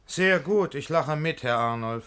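An actor playing a courteous, gently mocking friend speaking rhymed verse politely. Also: male voice, fairly high pitch, lively intonation, unhurried, clean tone. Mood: wry. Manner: polite, wry. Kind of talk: classical verse comedy acting.

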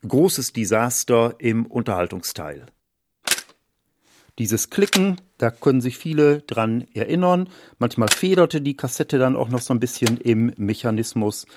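A man's voice: medium pitch, expressive, unhurried, background noise. A man explaining exhibits as a museum director, in a guided-tour style of talk.

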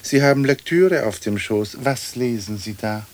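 An actor playing a dead aristocratic lord in a radio play, speaking formally in measured, old-fashioned, dry phrases. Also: male voice, medium pitch, lively intonation, a little mellow, natural voice. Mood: inquiring. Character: aristocratic dead English lord, dignified, dry, long-winded.

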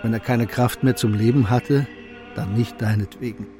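A kindly older man played by an actor speaks as a wise mentor in a radio drama. His mid-pitched voice is calm and consoling, patiently explaining, with clear music behind it. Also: moderate pace, natural voice. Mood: gentle, grave. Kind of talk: radio play dialogue.

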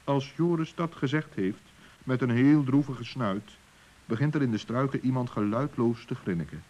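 A man's voice: medium pitch, darkish tone, slightly rough. A man narrating a children's radio play as a storyteller, reading from a script. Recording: dull old radio recording.

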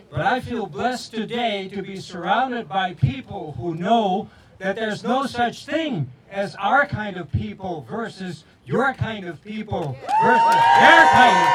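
A man from the Netherlands giving a measured speech at an outdoor street rally, heard through a PA with an echo. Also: high voice, distant mic. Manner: measured, building to a shout. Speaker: rally speaker.

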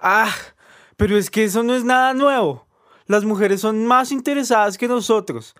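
A man's voice: high-pitched, moderate pace, a bit bright. A man acting a cynical young guy in a radio sketch, with a Colombian accent. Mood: dismissive.

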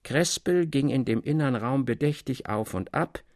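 A man narrating a classic literary tale in a measured, articulate way with expressive emphasis. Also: medium pitch, no echo, clean studio sound.

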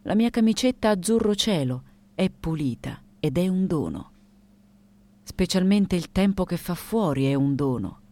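Radio drama lead: elderly woman artist, unconventional, dry and reflective, low register, dark mellow tone, deliberate monologue delivery. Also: very animated. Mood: solemn, reflective.

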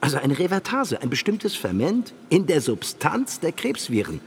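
A man's voice: on the high side, huge pitch swings, normal speed, a little hiss or hum. An actor playing a vain, self-important scientist who confides in his listeners in a radio play. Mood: smug insistence. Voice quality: husky. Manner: confiding, addresses listeners as pals. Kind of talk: radio play, first-person account.

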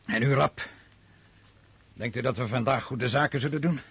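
A man acting an imperious, brooding French emperor on campaign in a radio play, with a commanding, declamatory manner and a husky voice. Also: mid-range voice, normal speed, fairly bright tone. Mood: musing.

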